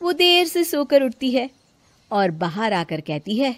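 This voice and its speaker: A woman's voice: sits high, big pitch swings, unhurried, dry recording. A woman narrating a scripted cartoon story in a plain storytelling manner.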